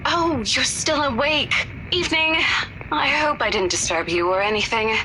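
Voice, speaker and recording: An actress voices a courteous, apologetic woman on a call in a visual novel. Her high voice moves up and down a lot, with hesitant phrasing. Loud noise surrounds her words. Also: animated, moderate pace. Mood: polite, hesitant. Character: polite, apologetic woman phone caller checking in.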